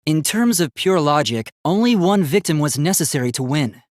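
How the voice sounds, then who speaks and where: A man's voice: on the high side, animated, normal speed, no room sound, studio quality, lightly acted. A man voicing an earnest, polite young robot student in a video game, with formal, precise, logical speech that gets easily flustered.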